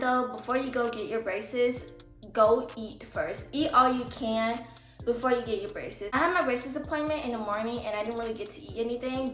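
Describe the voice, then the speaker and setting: A woman's voice: a little high, a bit bright. A woman vlogger giving casual advice in a rambling, chatty way, with a slight lisp from her braces. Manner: chatty, rambling, heavy use of "like". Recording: echoey room with faint music.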